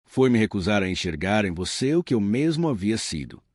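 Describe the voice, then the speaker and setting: A man reading the lines of a wealthy businessman, now humbled and reflective, speaking in a measured way. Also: on the low side, big pitch swings, darkish tone, no echo, clean studio sound.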